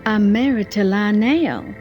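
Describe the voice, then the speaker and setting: A woman's voice: medium pitch, measured pace, dull audio, faint music behind, natural voice. A woman acting a poised, teasing, romantic mature character in a soap-opera audio drama. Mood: wistful.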